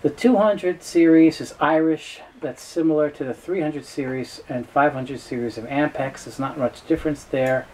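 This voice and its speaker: A man giving an informal, unscripted product explainer in a halting, matter-of-fact, knowledgeable way. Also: a little high, darkish tone, low-quality recording. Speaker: tape dealer presenting a product overview.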